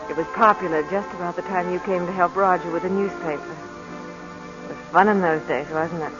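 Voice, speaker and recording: A woman's voice: lowish voice, steady tone, brisk, close to an everyday voice. A woman playing a grieving, wistful young wife in 1940s radio drama style. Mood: wistful, nostalgic. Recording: dull old radio recording with music behind.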